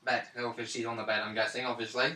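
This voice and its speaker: A man's voice: mid-range voice, level tone, quick pace, pushed and bright. A man playing a tabletop role-playing game, talking casually and describing his character's moves. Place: indoor room around a gaming table. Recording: roomy, distant mic, faint noise, clicks.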